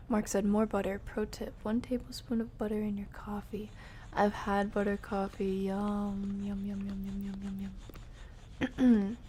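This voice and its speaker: Soft, mellow, slow-talking young woman streamer. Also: mid-range voice, little pitch movement, a little hiss or hum. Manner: playful, rambling, murmuring to herself. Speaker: game streamer. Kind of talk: casual live stream chat while playing. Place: her room.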